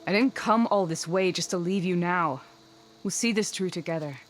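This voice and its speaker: A woman's voice: fairly low pitch, normal speed, slight background noise, lightly acted. An actress playing a loyal, confident warrior woman with a wry, assured manner in a naturalistic video game performance. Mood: loyal, firm.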